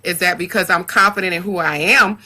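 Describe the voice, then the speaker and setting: Woman podcast panelist, deep voice, bright forceful tone, earnest, repeats words. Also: fairly even intonation, a little fast. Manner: earnest, repeats words while finding her point. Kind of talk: casual discussion.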